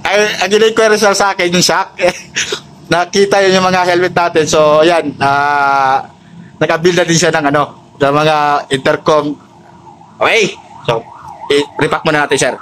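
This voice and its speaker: Chatty high-pitched Filipino man, vlog style. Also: flat delivery, unhurried, fairly bright tone. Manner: chatty, repeatedly addresses customer respectfully as sir. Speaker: motorcycle accessories seller and vlogger. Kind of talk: casual sales chat and product showcase to a customer and viewers. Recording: noisy location with vehicle sounds.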